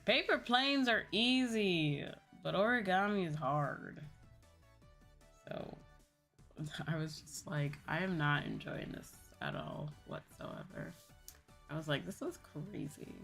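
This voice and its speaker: A woman's voice: on the low side, animated, takes it slowly, a bit bright, soft background music. A woman livestreamer chatting casually to her viewers in a playful, self-deprecating manner. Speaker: livestreamer, VTuber.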